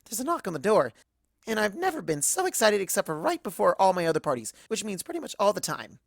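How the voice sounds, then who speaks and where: A woman's voice: mid-range voice, very animated, quick pace, vocal fry, dry recording, clean studio sound. A woman reading a first-person cartoon fan story aloud, performing the narration in character.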